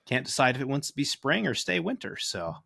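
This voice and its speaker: A man chats as a podcast guest, his voice rising and falling in a lively way. He jokes easily and keeps things relaxed and casual. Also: medium pitch, a little fast, creaky, slightly rough. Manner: chatty, lively, joking. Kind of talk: casual chat.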